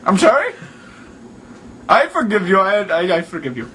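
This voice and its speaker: High-pitched pushy foreign prank caller. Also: male voice, big pitch swings, moderate pace, a bit bright, a little hiss or hum. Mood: insistent. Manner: indignant, repetitive broken English without contractions. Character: pushy, absurd, crude foreign male phone caller. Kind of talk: improvised prank phone call.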